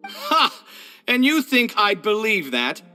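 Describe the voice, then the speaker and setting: An actor voicing a haughty, pompous male architect who is quick to take offence, in a dramatic video game style. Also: sits high, wildly swooping pitch, pushed and bright, faint music behind, heavily acted. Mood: indignant.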